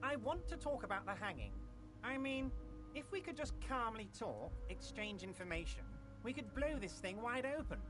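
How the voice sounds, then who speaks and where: Woman doing a slick, theatrical union boss, lively swooping delivery, music behind. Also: on the high side, moderate pace, close to an everyday voice, low-quality recording. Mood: eager. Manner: oily, reassuring, theatrical. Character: smug, talkative large male union boss.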